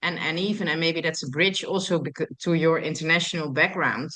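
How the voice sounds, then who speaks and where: Woman host with a tentative, halting delivery. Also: sits low, fairly even intonation, pushed and bright. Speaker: podcast host. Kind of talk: casual interview.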